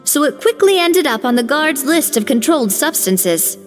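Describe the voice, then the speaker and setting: An actress playing a calm, dignified former head chef who speaks in a composed, measured, firm way. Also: female voice, high voice, soft background music. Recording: reverb.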